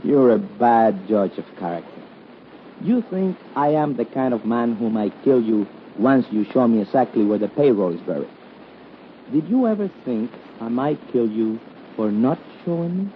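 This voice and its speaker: Man playing a menacing schemer, lively pitch. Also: moderate pace, natural voice. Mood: threatening. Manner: taunting, formal uncontracted English. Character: menacing, smooth-talking Latin male drifter and schemer. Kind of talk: 1950s radio suspense acting. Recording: tinny, noisy 1951 radio recording.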